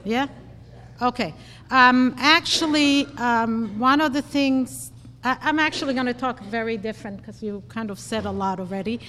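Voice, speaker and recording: A woman's voice: on the high side, normal speed, a bit bright. A woman therapist speaking at an event, chatty and self-deprecating, with frequent fillers. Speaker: marriage and family therapist. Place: hall. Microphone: handheld mic, then speaks without one. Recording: reverberant hall, faint noise.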